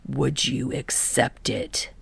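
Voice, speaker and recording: A woman voicing a blunt teenage boy athlete in a low, breathy murmur with a flat, grumbling, halting manner for a story reading. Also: no room sound, in a whisper, exaggerated voice. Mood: flat, serious.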